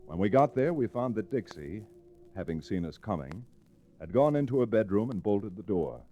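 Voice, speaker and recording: An actor playing a level-headed, wry lawyer hero in an old-time radio western. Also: male voice, lowish voice, animated, normal speed, lo-fi sound. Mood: wry narration. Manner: wry, reasoning.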